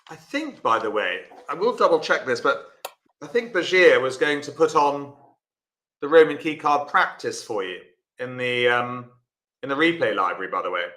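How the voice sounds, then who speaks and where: A man's voice: medium pitch, lively intonation, normal speed, bright, forceful tone, roomy sound. A man teaching a live online lesson, talking through the play and chatting to viewers by name. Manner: chatty, talks to viewers by name while explaining. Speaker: bridge teacher.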